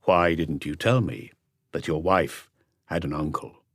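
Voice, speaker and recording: Man playing a deep, measured police inspector. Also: no echo, studio quality, heavily acted. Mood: probing, calm. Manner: measured, probing, quietly firm. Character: calm, weighty male police inspector questioning suspects.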